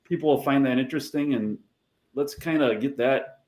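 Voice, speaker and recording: A man's voice: mid-range voice, moderate pace, darkish tone. A man with a Wisconsin accent hosting a casual live stream, friendly and full of questions. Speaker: host. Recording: echoey room.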